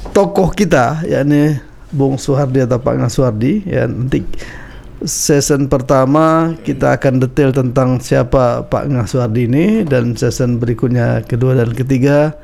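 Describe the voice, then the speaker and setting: A man's voice: medium pitch, creaky, a little mellow, a little hiss or hum. A man hosting a casual radio interview, chatty and loose with fillers, a bit stuffy from a cold. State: slightly congested with a cold. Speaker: radio talk show host. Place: radio studio.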